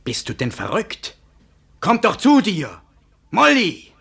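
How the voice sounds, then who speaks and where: Pushy greedy fellow, high-pitched, swooping intonation, blunt, callous, radio drama. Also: male voice, huge pitch swings, slow, vocal fry, a bit bright, slightly rough, full character voice. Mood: indignant. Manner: blunt, insistent. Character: brash, callous male companion, pushy and greedy. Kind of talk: mid-20th-century German radio play acting.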